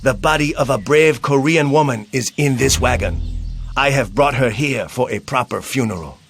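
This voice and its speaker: An actor playing a loyal, sober Korean army general who speaks respectfully and formally in a video game cutscene. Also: male voice, mid-range voice, moderate pace, fairly bright tone, close to an everyday voice. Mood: solemn. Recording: game audio with music underneath.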